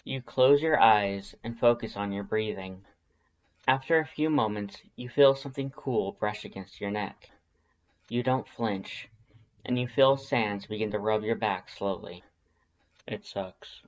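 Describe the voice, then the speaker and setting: A man reading fan fiction aloud as expressive scripted story narration. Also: lowish voice, unhurried, highs cut off.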